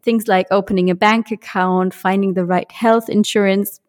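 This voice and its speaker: A woman's voice: medium pitch, steady tone, normal speed. A woman hosts a career podcast. She sounds upbeat and friendly and laughs lightly between her questions. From Germany. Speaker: podcast host.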